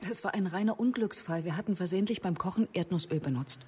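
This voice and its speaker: A woman's voice: lowish voice, quick pace, very noisy, natural voice. A woman acting in a German radio drama as an indignant, defensive suspect.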